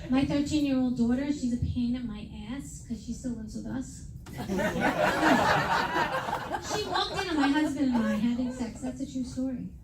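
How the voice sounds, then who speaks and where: A woman's voice: sits high, normal speed, distant mic, heavy background noise. A middle-aged woman from New York doing a stand-up comedy set, brash and self-mocking, acting out the people in her stories. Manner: brash, self-mocking punchlines, quoting others in raised voices. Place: comedy venue with a live audience. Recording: echoey room, mic heard from afar, loud crowd laughter.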